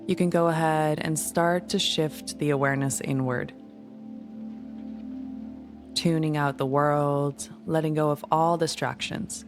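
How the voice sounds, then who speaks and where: A woman leading a guided meditation in a soothing, gentle, unhurried manner. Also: low-pitched, fairly even intonation. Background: music.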